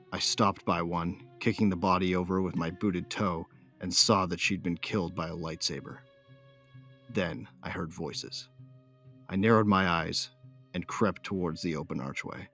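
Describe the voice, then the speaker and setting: A man's voice: low-pitched, vocal fry. A man playing a cocky, hot-tempered bounty hunter who tells his own story in a brash, colloquial, hard-boiled way. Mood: tense, grim. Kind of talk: first-person dramatised audiobook narration.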